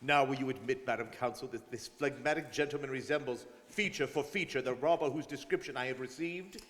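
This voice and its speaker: A man's voice: medium pitch, brisk, a bit bright, lightly acted. A man playing a suspicious, self-assured Scotland Yard detective in an old-time radio drama. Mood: insistent. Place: performed live in a studio. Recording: long hall reverb on stage voices.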